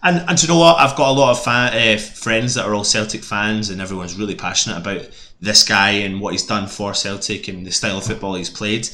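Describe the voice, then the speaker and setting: Bright-toned Scottish man. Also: on the low side, steady tone, fairly quick, few pauses, echoey room. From Scotland. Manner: jokey, jumps in with stammering restarts. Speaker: fan panelist. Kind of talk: casual football discussion.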